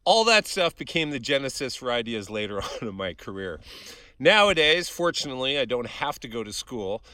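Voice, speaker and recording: A man's voice: medium pitch, sing-song, brisk, bright, forceful tone, no room sound. A middle-aged man talking casually to viewers in a rambling, conversational outdoor vlog. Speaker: vlog host, fiction creator. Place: outdoors on a forest hiking trail.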